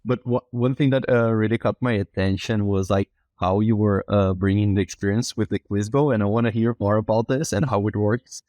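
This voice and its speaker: Low-voiced man from southern Brazil, halting. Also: steady tone, darkish tone, no echo. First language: Portuguese. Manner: halting, many restarts and fillers. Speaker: podcast host. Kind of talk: casual interview chat.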